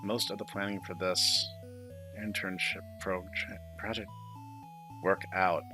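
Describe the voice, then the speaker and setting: A man's voice: low-pitched, little pitch movement, slow, pushed and bright, faint music behind. A man hosting a gaming video, giving casual, wry commentary as he reads along. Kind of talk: casual game commentary and read-aloud.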